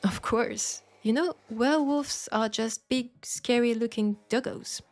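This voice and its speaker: A woman's voice: fairly high pitch, unhurried, a little mellow. A woman with a French accent playing a teasing, nurturing witch who tames werewolves, chatting playfully and coaxingly to the listener in an audio roleplay. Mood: fond, teasing. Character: teasing, nurturing female witch, self-styled werewolf tamer.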